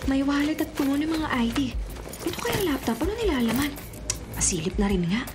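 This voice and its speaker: A streetwise young female thief in a radio drama, breezy and self-mocking. Her voice is high and races along. Prominent music plays behind her. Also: very animated, fairly quick. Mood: winded, curious. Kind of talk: radio drama acting, inner monologue. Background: loud dramatic music.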